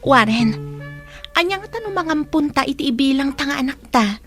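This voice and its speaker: A woman's voice: sits high, animated, moderate pace, a bit bright, soft background music. A woman playing an anxious young wife who longs for a child in a radio drama, speaking emotionally with an Ilocano accent. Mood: pleading, concerned. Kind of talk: Filipino radio drama acting.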